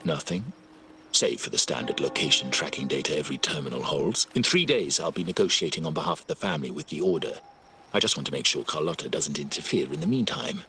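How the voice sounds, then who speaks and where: An actor playing a ruthless, calculating crime family boss in a video game, cold and commanding, with a husky, rough voice. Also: male voice, extremely low pitch, fairly bright tone, slight background noise, heavily acted. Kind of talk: dramatic video game voice acting.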